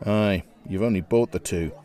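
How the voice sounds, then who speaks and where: A man reading aloud and voicing a cheeky, grumbling Irish drinking man in a bar, pitched higher than his own voice. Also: moderate pace, a crowd in the background, close to an everyday voice.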